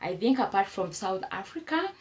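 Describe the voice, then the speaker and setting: A woman's voice: medium pitch, big pitch swings, normal speed, darkish tone. A Kenyan woman vlogging casual advice to camera, repeating her points for emphasis and exclaiming as she goes.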